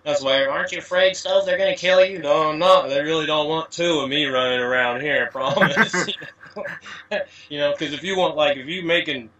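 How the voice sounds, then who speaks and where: A man's voice: a little high, normal speed, pushed and bright, dull audio. A man from the USA, a guest on an interview, talking in a rambling casual way with lots of "you know" fillers. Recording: distant from mic.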